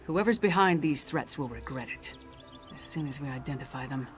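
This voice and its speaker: A woman's voice: very deep voice, expressive, brisk. A seasoned Nord warrior for a fantasy game. She is blunt, loyal and sure of herself, with a firm, dry delivery. Music plays faintly behind her. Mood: proud, resolute. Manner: firm, blunt, dryly self-assured. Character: battle-hardened Nord warrior woman, blunt, loyal, confident. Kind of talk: video game character dialogue.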